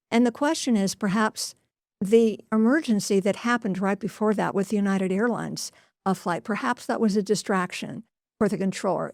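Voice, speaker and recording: A woman's voice: medium pitch, a little mellow, dry recording. Woman reporting news, dry close sound. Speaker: transportation news correspondent. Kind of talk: news report, semi-scripted explanation.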